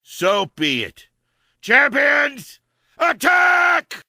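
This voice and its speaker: An actor playing a righteous, fierce holy warrior in a video game, declaiming with raspy, impassioned resolve. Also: male voice, extremely high pitch, huge pitch swings, drawn-out words, pushed and bright, a little hiss or hum, full character voice. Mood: furious, rallying. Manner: impassioned, declamatory battle delivery. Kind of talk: dramatic video game acting.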